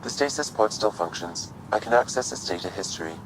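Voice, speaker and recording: A male artificial intelligence from a sci-fi video game. The voice is low, calm and exact, with formal, measured phrasing and no emotion, over clear ambient noise. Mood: neutral. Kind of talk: video game cutscene acting.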